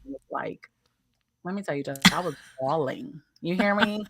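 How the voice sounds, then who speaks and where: An American woman in her late thirties, a guest telling her travel story in a casual interview, animated with playful asides and laughter. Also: lowish voice, moderate pace. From United States.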